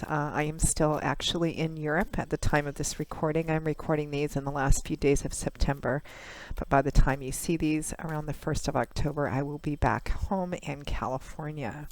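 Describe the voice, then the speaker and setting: A woman tarot reader, hoarse from a cold, talks through a monthly reading in a measured, conversational way with frequent pauses and fillers. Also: deep voice, fairly even intonation, darkish tone, rough recording. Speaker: tarot reader, channel host.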